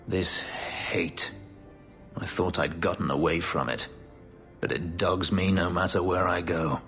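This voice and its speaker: An actor playing a brooding, bitter elf and escaped slave in a video game, terse and intense. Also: male voice, sits low, steady tone, a little slow, fairly bright tone. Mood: anguished. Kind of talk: dramatic video game acting. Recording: reverberant game audio with music.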